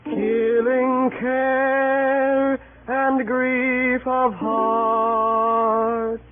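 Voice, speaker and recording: A woman playing a young servant, singing a gentle, drawn-out lullaby in an old radio Shakespeare drama. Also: sits high, flat delivery, over music. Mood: gentle, plaintive. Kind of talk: slow sung Shakespeare song in radio drama. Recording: muffled old radio recording.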